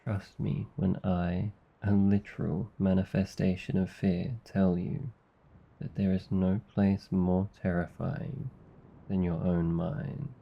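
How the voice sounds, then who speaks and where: Casting: Australian male actor for a tender comforting demon, deep and unhurried, husky, ASMR roleplay, slightly muffled recording. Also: level tone, heavily acted. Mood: calm, reassuring. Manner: soft-spoken, slow, reassuring, rambling explanations. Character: gentle, caring male sleep paralysis demon. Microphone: close ASMR microphone.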